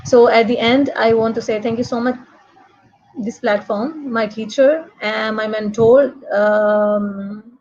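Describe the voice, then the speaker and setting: A woman with a Pakistani accent hosting an online show, speaking English as a second language in a halting, repetitive, effusive way. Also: fairly high pitch, darkish tone. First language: not English. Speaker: host. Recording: dull, lo-fi online call audio.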